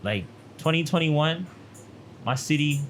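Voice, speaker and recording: A man, a model being interviewed, talking in relaxed street slang and often checking in with "you feel me". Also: fairly high pitch, a little slow, slight background noise. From Toronto, Canada. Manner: laid-back street slang, frequent "you feel me" tags. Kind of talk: casual interview chat.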